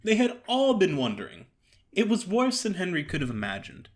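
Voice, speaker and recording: A man narrating a story in a theatrical, formal and expressive way, as an actor performing an audio drama. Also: high-pitched, normal speed.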